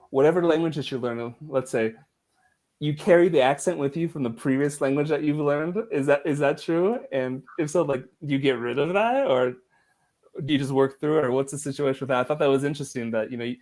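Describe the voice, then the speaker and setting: A man hosts a relaxed podcast conversation, asking long, winding questions. He answers the guest with short murmurs of agreement. His voice sits at a middle pitch. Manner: frequent short backchannels, asks long questions. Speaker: podcast host. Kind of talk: casual podcast interview.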